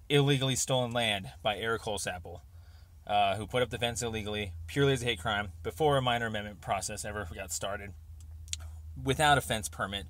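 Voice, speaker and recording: A man leaving an informal, rambling voicemail over the phone, speaking haltingly as he pieces his thoughts together. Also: fairly low pitch, fairly bright tone, no echo. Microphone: telephone.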